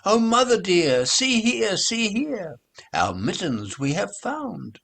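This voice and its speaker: A man plays tiny storybook kittens, pitched far above a grown voice. The delivery is childlike, sing-song and pleading, swinging widely between words and drawn-out mews. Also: big pitch swings, gravelly, fairly bright tone, exaggerated voice. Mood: eager, excited. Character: whimpering little kittens pleading and meowing to mother.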